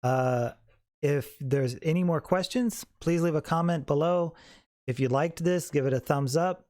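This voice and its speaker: A man giving a casual, unscripted software tutorial in an informal, easygoing way. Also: on the high side, unhurried, dry recording, studio quality. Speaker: host of a how-to tutorial.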